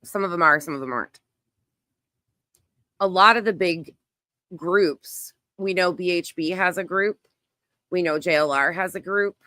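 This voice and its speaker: A woman's voice: on the low side, measured pace, pauses often, pushed and bright, no room sound, clean studio sound. A woman hosting a casual live online commentary, emphatic and repetitive in phrasing, talking through what she does as she browses. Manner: narrates own actions while browsing. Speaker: YouTube creator, host.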